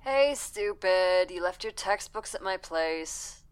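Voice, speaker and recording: A woman's voice: lowish voice, expressive, takes it slowly, clear, resonant tone. An actress playing a blunt, scolding, bossy young woman in an audio drama.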